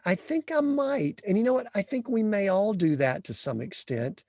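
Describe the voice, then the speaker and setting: A man's voice: high voice, big pitch swings, moderate pace, darkish tone, dry recording. A man hosting a podcast interview, asking earnest, rambling questions full of restarts. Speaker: podcast host.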